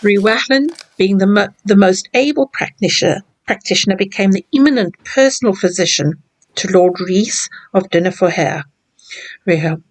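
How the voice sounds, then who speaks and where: A woman guiding viewers through a hotel room and then telling an old local legend, gently, with small restarts. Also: mid-range voice, vocal fry, low-quality recording. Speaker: hotel tour guide. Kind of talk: guided room tour and legend narration.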